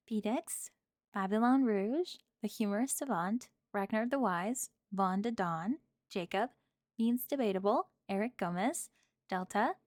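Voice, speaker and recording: A woman speaking as a channel host, reading out a scripted outro and shout-outs. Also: mid-range voice, no room sound, studio quality.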